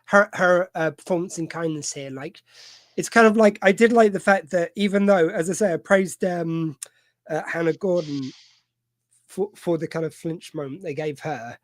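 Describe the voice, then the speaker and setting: A man with a fairly high voice hosts a loose film chat, drifting and restarting his sentences as he goes. The sound is dry and close, with no room around it. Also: no room sound. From United Kingdom. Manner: rambling, halting, many fillers and restarts. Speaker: podcast host. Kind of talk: casual film discussion. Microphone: video call mic.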